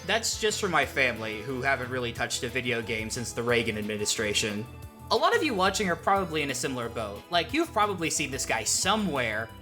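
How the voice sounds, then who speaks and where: Male video essayist, lively pitch and bright tone, joking and sweary, music underneath. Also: brisk. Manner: joking, self-interrupting, sweary. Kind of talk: casual scripted video essay commentary.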